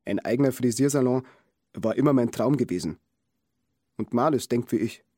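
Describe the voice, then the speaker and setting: An actor playing an earnest hairdresser giving testimony in a radio play, with a husky voice. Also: male voice, mid-range voice, moderate pace, mellow, no room sound, clean studio sound, lightly acted. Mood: earnest, subdued. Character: earnest hairdresser husband giving a plain witness statement.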